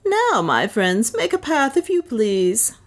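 A cool, courteous English adventurer speaks with polished politeness, as a woman reading a storybook puts him on. The voice sits higher than the reader's own and swings lively. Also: slow. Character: adult male English adventurer, cool and bold.

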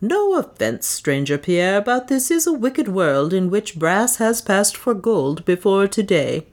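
A woman's voice: mid-range voice, big pitch swings, unhurried, a little mellow, voice pushed up. A woman voicing a brutal, greedy male slave-trader boss in an audiobook, mocking, blustering and commanding. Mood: sly satisfaction. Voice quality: hoarse when enraged.

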